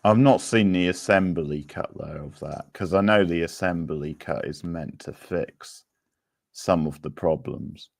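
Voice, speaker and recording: A man's voice: deep voice, unhurried, vocal fry, dry recording, studio quality. A man hosting a livestream quiz, chatting casually with viewers in a laid-back, conversational way. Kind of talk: casual live chat with viewers.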